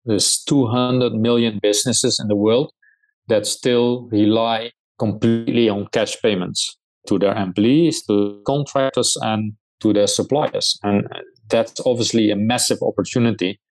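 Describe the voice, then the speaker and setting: Middle-aged Dutch-accented founder, lowish voice, measured delivery with hesitations. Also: male voice, fairly even intonation, low-quality recording. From Netherlands. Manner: measured, with frequent hesitations and restarts. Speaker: startup founder and CEO. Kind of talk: conversational interview answers.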